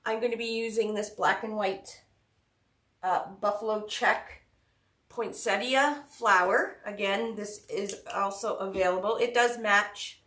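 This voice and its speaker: A woman's voice: mid-range voice, fairly even intonation, a little slow, pushed and bright, recorded from a distance. A woman with a head cold hosting a live craft tutorial, chatty and greeting viewers by name. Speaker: craft shop host. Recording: roomy sound, mic set back.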